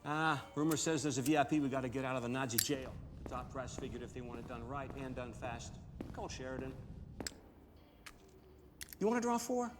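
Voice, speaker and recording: An actor playing a cocky American soldier in a game cutscene, talking in a casual, blustering, slangy way. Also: male voice, medium pitch, lively intonation, a little fast, pauses often, lightly acted. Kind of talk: military video game cutscene acting. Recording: clearly noisy ambient background.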